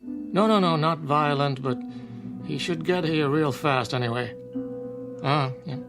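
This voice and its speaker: An actor playing a firm, rational small-town doctor in a radio drama, measured and authoritative as he explains. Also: a little high, vocal fry. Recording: music under the voice.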